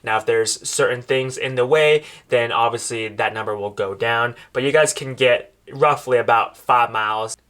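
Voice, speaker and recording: Male product reviewer, bright forward voice, casual explanatory delivery to viewers. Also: mid-range voice, normal speed, hardly any pauses. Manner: explanatory, step-by-step, addressing viewers. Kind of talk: casual product demonstration to camera.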